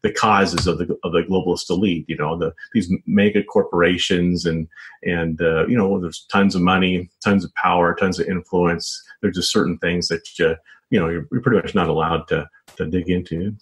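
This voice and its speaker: A man with a low voice talks as a guest author in an interview. He explains things at length in a conversational way, stumbling a little and padding his sentences with "you know" and "sort of.". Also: fairly even intonation, moderate pace, rough recording. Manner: hesitant, wordy, frequent "you know" and "sort of". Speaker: guest, investigative journalist and author.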